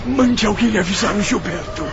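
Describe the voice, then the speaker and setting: An actor playing a gravely injured, devoted grandfather in an audio drama, speaking in a halting, pained, tearful voice. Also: high voice, very noisy. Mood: weak, grateful. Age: older. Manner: halting, broken delivery. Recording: dull lo-fi drama track under loud music.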